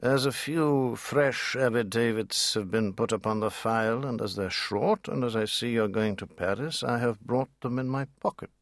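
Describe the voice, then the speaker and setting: Guy doing an old-school elderly attorney, quiet type, formal, careful, dry close audiobook sound. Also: mid-range voice, no room sound, lightly acted. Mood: dry, deferential. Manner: deliberate, formal, discreet.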